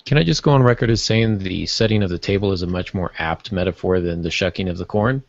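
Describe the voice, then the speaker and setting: A man's voice: low voice, fast, vocal fry, dry recording, lo-fi sound. A man co-hosting a podcast in casual chat, bantering and dropping in asides as he makes his point. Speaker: podcast co-host.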